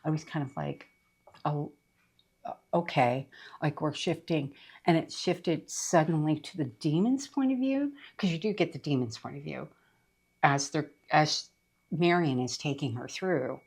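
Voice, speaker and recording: A middle-aged woman chatting casually about books she read, her voice rough after being sick, rambling with lots of fillers. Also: deep voice, measured pace, a little mellow. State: voice rough from recent illness. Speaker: book reviewer. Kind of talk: casual book review chat.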